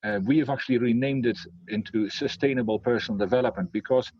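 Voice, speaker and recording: A man's voice: mid-range voice, fast, husky, dry recording, lo-fi sound. A middle-aged man with a Dutch accent tinged by Scotland, a leadership coach talking in an interview, hesitant with many fillers and restarts. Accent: Dutch, with Scottish influence. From Netherlands. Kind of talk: casual interview talk.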